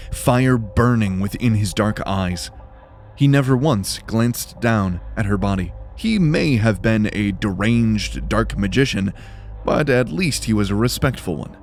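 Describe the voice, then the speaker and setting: Low-voiced male storyteller over music. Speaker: narrator. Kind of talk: scripted dramatic narration of fantasy fiction. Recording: music behind the voice.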